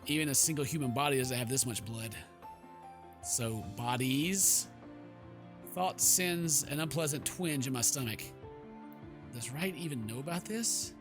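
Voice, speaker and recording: A man streaming a game, reading narration aloud and breaking into playful, casual asides. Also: medium pitch. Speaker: gaming streamer. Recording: game music behind the voice.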